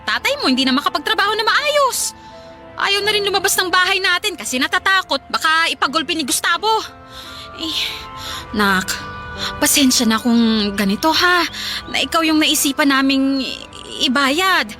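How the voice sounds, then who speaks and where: Desperate Filipino mother, radio drama, very high animated voice, coaxing, pushy, music underneath. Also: moderate pace. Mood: anxious, coaxing. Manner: coaxing, guilt-tripping, hesitant then insistent. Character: pleading, pressuring poor mother deep in debt. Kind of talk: Tagalog radio drama acting.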